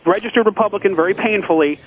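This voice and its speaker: Man, radio caller, high voice, rapid, insistent, stammering starts, narrow muffled phone sound. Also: a little hiss or hum. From United States, Connecticut. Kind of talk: earnest, casual political argument. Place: on a phone call to a radio show. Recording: phone line into radio studio.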